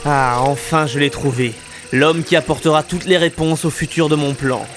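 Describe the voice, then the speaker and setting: Man playing higher-pitched sinister schemer. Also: steady tone, normal speed, a bit bright, faint music behind, lightly acted. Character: calculating, sinister male schemer. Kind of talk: amateur audio comedy adventure acting.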